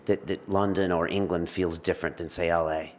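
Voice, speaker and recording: An American man hosting an interview, asking questions in a joking, quick-fire way. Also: sits low, fairly even intonation, darkish tone. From United States. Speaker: interview host.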